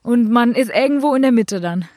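A woman's voice: on the high side, expressive, brisk, a little mellow, dry recording, clean studio sound. A young woman from India with an Indian accent, a cricket player answering interview questions in simple, hesitant German full of fillers.

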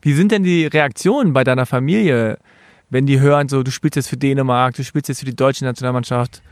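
A male interviewer at a middle pitch who asks long, winding questions and keeps rephrasing them mid-sentence. The recording is close and dry, with no room sound. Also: a little fast, clear, resonant tone, no room sound. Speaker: interviewer, host.

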